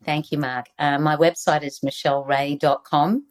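An Australian woman, a guest author on leadership, giving earnest, animated interview answers over a video call. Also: deep voice, moderate pace.

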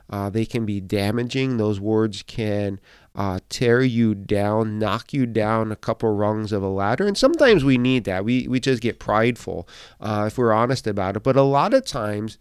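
A man who pastors and hosts a podcast, speaking with a slight speech impediment, pausing often and repeating words as he talks through a devotional thought. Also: mid-range voice, a little mellow, clean tone, dry recording, studio quality. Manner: halting, many fillers and repeated words. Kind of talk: reflective devotional podcast talk.

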